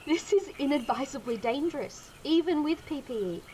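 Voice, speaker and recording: A woman plays a seasoned, exasperated toad hunter in an audio drama. Her voice is high and heated, with a sarcastic edge, over faint ambient noise. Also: animated, moderate pace, darkish tone, rough recording. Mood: exasperated, furious.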